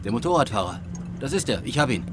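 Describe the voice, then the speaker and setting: An actor playing a matter-of-fact, precise police surveillance technician in a German radio crime drama. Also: male voice, medium pitch, big pitch swings, fast talker, background noise, close to an everyday voice. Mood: alert, urgent.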